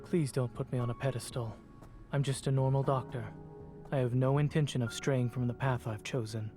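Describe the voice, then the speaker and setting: An actor playing a composed, modest young doctor in a game, measured and formal in speech. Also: male voice, medium pitch, steady tone, over music, lightly acted. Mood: modest, firm.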